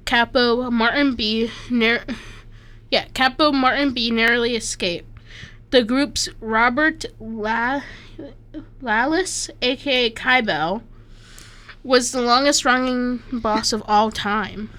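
Woman from Slovakia, bright high voice, reads notes casually, trips on names. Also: slow. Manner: stumbles over names, self-deprecating asides. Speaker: co-host reading an article. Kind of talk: casual chat, reading aloud.

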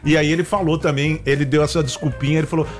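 A man's voice: fairly high pitch, steady tone, quick pace, faint music behind. A man co-hosting a casual news talk show, teasing and quipping. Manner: teasing, quips with "tá vendo?". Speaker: co-host.

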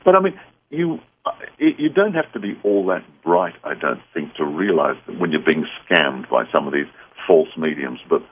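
A man with an Australian accent and a husky voice, speaking earnestly as a guest on a radio talk show. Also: extremely low pitch, very animated, moderate pace, slight background noise. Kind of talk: earnest interview conversation. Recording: dull phone-like radio line.

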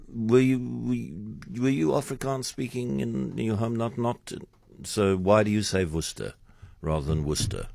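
A man's voice: mid-range voice, vocal fry, dry recording, studio quality. A man with a South African accent hosting a radio talk show, chatting playfully and chuckling often. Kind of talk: casual radio chat. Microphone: studio microphone.

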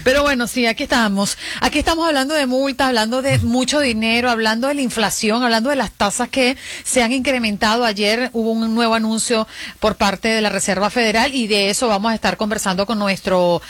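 A woman's voice: on the high side, fairly even intonation, fairly quick, a bit bright, dry recording. A Venezuelan woman co-hosting a morning show, trading playful banter with colleagues, laughing often, then reading news headlines in a broadcast studio.

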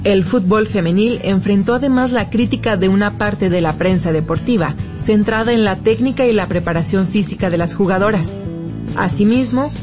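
A woman reading a scripted documentary narration in a measured, informative way. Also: medium pitch, dull audio.

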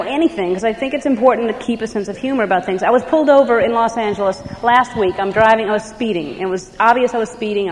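Fast-talking wry stand-up woman. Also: a little high. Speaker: stand-up comedian. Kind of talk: stand-up set to a live crowd. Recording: noisy club with crowd. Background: crowd laughing.

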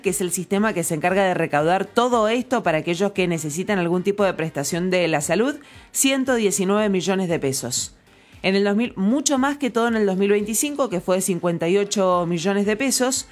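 Argentine woman explaining on radio. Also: mid-range voice. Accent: Argentine, Mendoza. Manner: explanatory, brisk, jokey. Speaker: radio host. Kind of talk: radio talk show.

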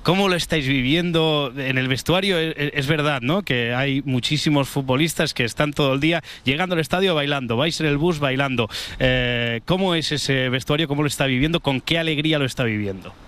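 A man's voice: a little high, a little fast, fairly bright tone. Chatty male sports reporter. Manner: long, chatty questions.

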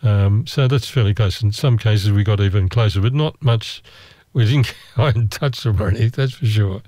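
A man's voice: on the low side, moderate pace, dark tone, dry recording. Man, storyteller, dry close sound, wry and self-mocking. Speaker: travel storyteller. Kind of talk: casual storytelling.

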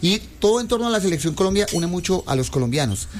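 A man's voice: sits high, big pitch swings, quick pace. A man interviewing a guest on the radio, asking questions with some fillers.

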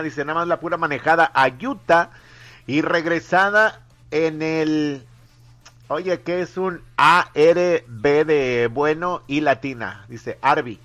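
A man's voice: on the high side, sharp tone, dull audio. A man hosting a morning radio show, reading listener messages aloud and teasing his co-host playfully. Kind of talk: joking morning radio banter.

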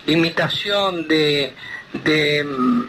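An Argentine man chats on the phone in a bright, slightly high voice. His phrasing rambles and hesitates, with Rioplatense speech and light noise on the line. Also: extremely slow. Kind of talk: casual phone conversation. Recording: noisy phone call.

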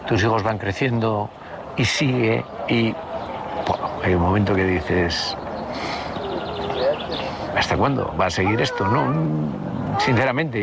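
A gravelly-voiced man, speaking slowly and thoughtfully, often pausing and restarting his sentences. He is recorded outdoors, with loud street traffic behind him. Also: medium pitch. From Basque Country. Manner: thoughtful, hesitant, many fillers and restarts. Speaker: interview guest, party member. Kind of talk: reflective personal storytelling.

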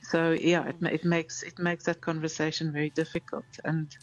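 A guest from South Africa speaking from Kenya, talking in a measured, thoughtful way and often repeating words while gathering thoughts. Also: mid-range voice, flat delivery, dull audio. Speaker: guest commentator. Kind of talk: thoughtful conversational analysis. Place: in Kenya, remote call.

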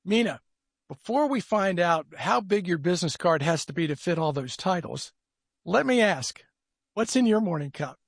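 Creaky, husky man hosting a podcast. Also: sits high, lively intonation, normal speed, no echo, clean studio sound. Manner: friendly, repeats words as he starts sentences. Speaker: podcast host. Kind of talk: casual interview chat.

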